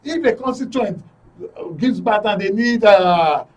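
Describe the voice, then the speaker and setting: A man's voice: high-pitched, lively intonation, unhurried, darkish tone, distant mic. A man with a Nigerian accent hosting a live political discussion, arguing emphatically and repeating words for stress. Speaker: talk show host. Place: radio and TV studio.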